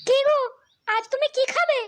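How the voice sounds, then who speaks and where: Squeaky, extremely high cartoon wife. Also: moderate pace, fairly bright tone. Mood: puzzled. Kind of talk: comedy cartoon voice acting. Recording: noisy background.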